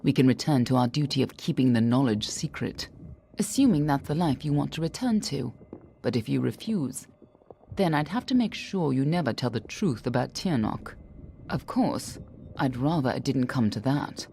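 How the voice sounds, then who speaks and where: An actress playing a stern, guarded warrior woman in a fantasy game, speaking in a cool, serious, measured way. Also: a bass voice, expressive, gravelly, mellow, slight background noise, full character voice. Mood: grave, guarded. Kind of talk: dramatic fantasy game dialogue.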